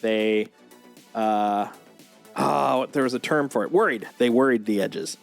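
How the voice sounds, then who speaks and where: Enthusiastic geeky man over clear music. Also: mid-range voice, expressive, measured pace. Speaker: streamer, host. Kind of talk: casual livestream chat, showing off collectible toys. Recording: music playing behind the voice.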